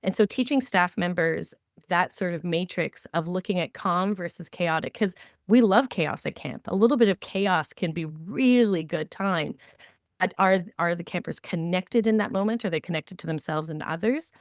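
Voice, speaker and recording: A woman hosting a podcast, talking conversationally and explaining with animated examples. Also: on the low side, moderate pace, no echo, studio quality. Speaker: podcast host. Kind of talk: conversational training discussion.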